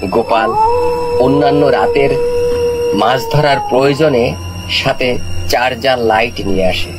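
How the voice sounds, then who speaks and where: A man narrating a scripted folk tale in a measured, literary storytelling manner. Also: medium pitch, low-quality recording.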